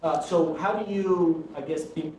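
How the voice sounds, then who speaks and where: A man's voice: a little high, steady tone, unhurried, darkish tone, roomy sound, recorded from a distance, slight background noise, low-quality recording. A man in the audience, a consultant, asking a casual question and checking in with repeated "right?".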